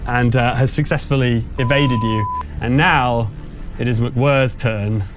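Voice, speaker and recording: A man's voice: medium pitch, steady tone, takes it slowly, over music. A man speaking as game master, narrating and ruling on a tabletop game in a hesitant, conversational way.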